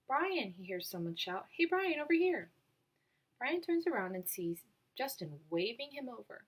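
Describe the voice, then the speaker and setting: A woman reads a picture book aloud for children. Her delivery is expressive and very lively in pitch, moving up and down through each sentence. The voice has a soft husky edge. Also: poor audio. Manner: expressive storytelling with acted dialogue. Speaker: read-aloud volunteer reader.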